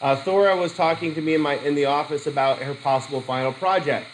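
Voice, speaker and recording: A middle-aged man with a cold teaches a methods class, his voice sitting a little high for a man. He stops and restarts his sentences as he puts questions to the students. A faint hiss runs under the classroom recording. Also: quick pace, low-quality recording. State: has a cold. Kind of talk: classroom lecture. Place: classroom with a whiteboard.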